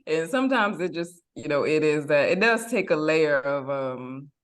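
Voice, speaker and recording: A woman's voice: low-pitched, big pitch swings. Chatty woman podcast co-host. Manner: chatty, halting, many fillers and restarts. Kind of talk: casual interview chat.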